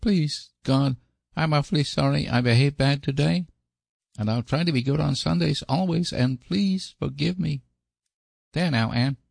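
A man's voice: on the high side, measured pace, creaky, darkish tone, no echo, studio quality, voice pushed up. A man voicing a guilty, eager little boy in a storybook, childlike, earnest and confessional. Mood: relieved, eager. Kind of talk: storybook character voice.

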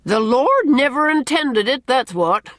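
A woman's voice: a little high, wildly swooping pitch, normal speed, no echo, studio quality, pitched above the performer's own voice. A woman voicing a stern, opinionated older village matron in an audiobook, emphatic and scolding with old-fashioned country phrasing. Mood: authoritative. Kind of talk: audiobook character dialogue.

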